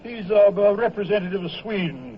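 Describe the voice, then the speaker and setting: A man plays a blimpish guards colonel, high-voiced and pompous. He blusters in tones through an old radio drama, muffled and faintly noisy. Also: a little fast, natural voice. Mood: courteous. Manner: pompous, blustering, stammering politeness. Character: hearty, blimpish British aristocratic guards colonel. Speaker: actor in a radio serial.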